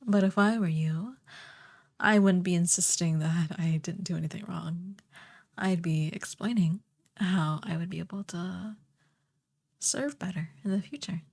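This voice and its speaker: A woman playing a haughty, sardonic evil sorceress queen in a soft, breathy, imperious voice for a fantasy ASMR roleplay. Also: on the low side, fairly even intonation. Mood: imperious, mocking. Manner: imperious, theatrically disdainful, drawn-out delivery.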